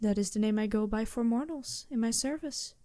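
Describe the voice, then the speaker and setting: A woman voicing a poised, imperious yet compassionate demon princess in an intimate ASMR roleplay, with a deliberate, softly commanding manner. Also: a little high, dry recording, lightly acted.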